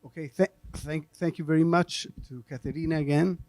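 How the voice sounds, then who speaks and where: Halting man with a dark, mellow voice. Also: on the high side, fairly even intonation. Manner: hesitant, halting delivery. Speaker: event host and interpreter. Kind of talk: unscripted event talk.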